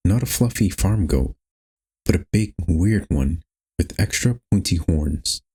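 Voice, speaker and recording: A man's voice: sits low, animated, a little slow, warm tone, slightly rough. A man reading scripted, storybook-style narration for a horror game.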